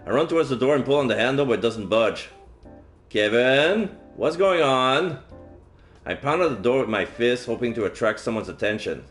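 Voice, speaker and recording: A man's voice: mid-range voice, animated, normal speed. Male game streamer, medium register, narrates visual novel text with casual asides, light background music. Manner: dramatic reading mixed with offhand asides. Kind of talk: reading visual novel aloud, casual commentary. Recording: game music faintly behind. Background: video game music.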